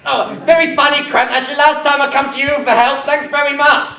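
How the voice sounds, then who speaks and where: A man's voice: very high-pitched, flat delivery, brisk, gravelly. A man playing a flustered, exasperated fellow with a personal problem in a radio comedy sketch. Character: flustered, exasperated man worried about body odour. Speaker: actor in live radio comedy. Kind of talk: parody radio sketch, rapid cross-talk. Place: arts centre stage before live audience. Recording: distant, echoey live stage, slight noise.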